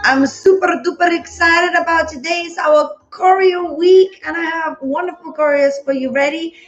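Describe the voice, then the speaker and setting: A woman's voice: extremely high pitch, normal speed, soft background music. A woman dance instructor leading a live-streamed class, bubbly and greeting viewers by name, her voice a little worn as she is losing it. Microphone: phone. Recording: roomy, slightly dull sound.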